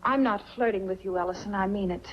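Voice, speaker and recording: Actress as soft-mannered young american widow, husky, guarded, muffled 40s movie sound. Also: female voice, mid-range voice, fast, close to an everyday voice. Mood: guarded, firm. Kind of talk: 1940s film acting. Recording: dull 1940s film soundtrack.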